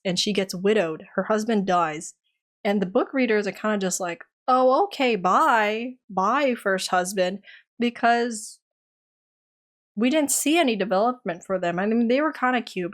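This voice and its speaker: A young woman giving an animated, exasperated review of a TV series in a casual vlog, her voice tiring. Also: a little high. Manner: animated, exasperated, dramatic outbursts. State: voice getting tired.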